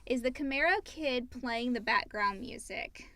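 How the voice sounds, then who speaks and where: A woman's voice: a little high, a little slow, bright, forceful tone, no room sound. A woman co-hosting a podcast, reading questions and chatting casually. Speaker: podcast co-host. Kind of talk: casual Q&A chat.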